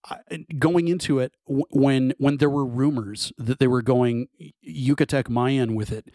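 A man's voice: medium pitch, steady tone, unhurried, mellow, no echo, clean studio sound. A man in casual conversation, talking enthusiastically in long rambling runs with many restarts. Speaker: podcast co-host. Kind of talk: casual film discussion.

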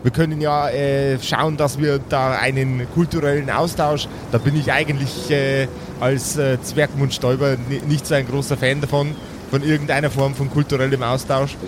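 A man's voice: medium pitch, flat delivery, moderate pace, background noise, close to an everyday voice. A man playing a stuffy, hesitant dwarf politician who stammers through pompous officialese. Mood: stuffy, reluctant.

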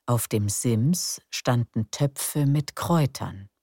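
A woman narrating a calm bedtime story in a slow, soft, soothing way. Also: extremely low pitch, dry recording, clean studio sound.

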